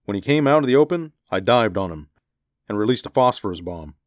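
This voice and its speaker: A man voicing a confident, brisk military pilot in an audiobook story. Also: on the low side, animated, no room sound, studio quality, voice pushed up, natural voice. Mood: excited. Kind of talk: audiobook character dialogue.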